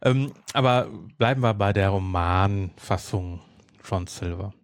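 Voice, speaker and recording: A man's voice: fairly low pitch, a little slow, smooth, no room sound. Dry-recorded man chatting as podcast host. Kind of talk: casual chat, retelling a story.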